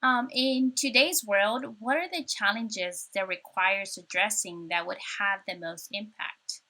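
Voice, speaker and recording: Bright-voiced woman interviewer. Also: mid-range voice, measured pace, poor audio. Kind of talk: prepared interview questions, conversational. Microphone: video call.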